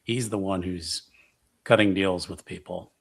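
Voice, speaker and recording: Man, very deep voice, slow pace, casual roleplaying narration. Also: fairly even intonation, gravelly. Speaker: tabletop roleplaying player. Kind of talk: casual narration of his character's actions.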